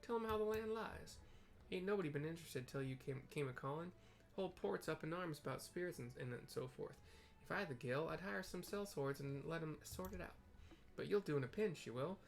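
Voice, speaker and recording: A man plays a gruff, grumbling dockside boss from a fantasy game. The voice creaks and sits a little above his own talk, with rustic phrasing and folksy tags on the ends of sentences. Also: on the high side, fairly quick. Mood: eager, folksy. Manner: rustic dialect, tacked-on tag phrases. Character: gruff male port supervisor, rustic and grumbling.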